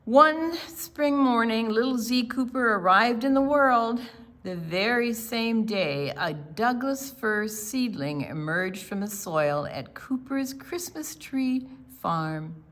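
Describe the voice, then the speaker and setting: An older woman reading aloud and explaining things to young children in a deliberate, teacherly, expressive way. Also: a little high. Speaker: children's storytime presenter.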